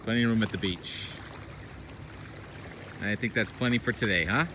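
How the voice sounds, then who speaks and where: A man with a fairly low voice narrates a casual outdoor vlog. He muses aloud in short, enthusiastic exclamations about what he sees. Clear ambient noise from the open air runs behind his phone recording. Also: normal speed. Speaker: weather vlogger, videographer. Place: outdoors, beach and waterside. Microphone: handheld phone.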